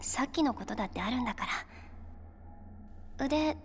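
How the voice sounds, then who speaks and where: A caring young heroine played by a female voice actor, high and girlish. She talks tenderly and casually in game-cutscene style, with faint noise behind her. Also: animated, moderate pace, big-room sound, natural voice. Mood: concerned. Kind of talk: Japanese video game cutscene acting.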